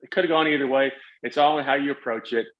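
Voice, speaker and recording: A middle-aged man, a guest pilot in an interview, explaining things in a halting, conversational way. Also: medium pitch. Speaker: guest, paraglider pilot and retired air traffic controller. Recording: dull, lo-fi voice audio.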